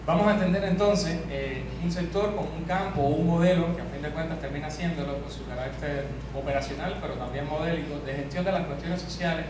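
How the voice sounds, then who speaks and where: Cuban guy with a worn out voice presenting at a seminar, lots of ehs and restarts, far off mic, noisy hall. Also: on the high side. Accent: Cuban Spanish. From Cuba. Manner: measured, with frequent hesitations and restarts. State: voice strained from hours of teaching. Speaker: conference speaker. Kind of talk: academic seminar talk. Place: large conference hall.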